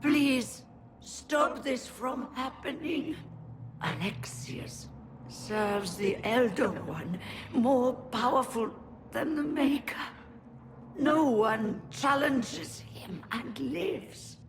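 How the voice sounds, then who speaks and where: A woman playing a dying imprisoned mage in a fantasy game, her words strained and breathy. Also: high voice, expressive, extremely slow, background noise, full character voice. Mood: desperate pleading. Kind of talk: dramatic fantasy game dialogue.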